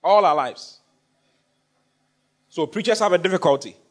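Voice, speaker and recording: A man's voice: high-pitched, lively intonation, moderate pace. A man preaching a sermon, repeating phrases for emphasis and questioning his congregation. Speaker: pastor.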